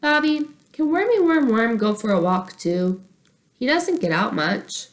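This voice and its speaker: Storybook little girl, played by a woman: high-pitched, eager, playful, babyish. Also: measured pace. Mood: playful, cheerful. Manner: excitable, makes up babyish nonsense words.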